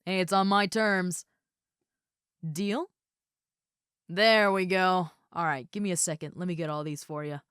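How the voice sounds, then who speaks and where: A woman's voice: on the low side, steady tone, unhurried, pauses often, fairly bright tone, smooth, dry recording, studio quality. A woman playing a muscular female ogre roommate in a roleplay audio, friendly, easygoing and caring, with a casual, chatty way of talking and hesitant asides. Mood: playful, reassuring. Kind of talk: roleplay audio acting, addressed to the listener.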